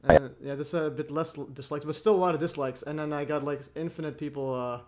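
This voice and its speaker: A man's voice: a little high, fairly even intonation, quick pace, a little hiss or hum. A man hosting a casual livestream chat, rambling through clips with frequent restarts and laughs. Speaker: host.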